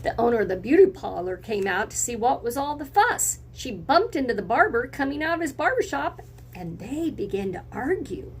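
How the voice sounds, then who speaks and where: A woman's voice: fairly high pitch, big pitch swings, moderate pace, slight background noise. A woman teacher reading a picture book to young children, speaking expressively in simple phrases and putting encouraging questions to her listeners. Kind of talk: read-aloud lesson for children, with questions to listeners.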